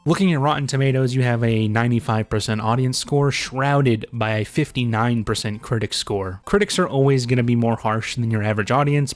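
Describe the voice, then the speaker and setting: Blunt, sarcastic man doing video commentary. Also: medium pitch. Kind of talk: casual opinionated video commentary.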